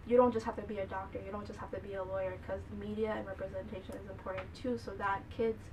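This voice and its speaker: A twenty-year-old woman with an American accent, a college student giving informal, hesitant interview answers peppered with "like". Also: mid-range voice. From Bangladesh, raised in the United States from early childhood. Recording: noisy room, distant microphone.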